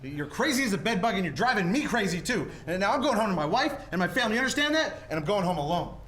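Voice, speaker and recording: A man's voice: high-pitched, very animated, fast, fairly bright tone, a little hiss or hum. A man playing a bewildered, stammering, agitated everyman family man in a 1940s-style radio play. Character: frantic, bewildered everyman husband and father. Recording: live stage play with music behind.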